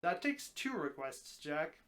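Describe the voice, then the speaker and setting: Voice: mid-range voice, very animated, slow, fairly bright tone. A reader voicing an affable, matter-of-fact talking snake. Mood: patient, measured.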